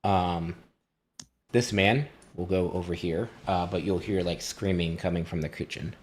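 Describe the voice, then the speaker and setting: A man acting as game master, casually and haltingly narrating a tabletop fantasy game. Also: sits low, steady tone, slight background noise.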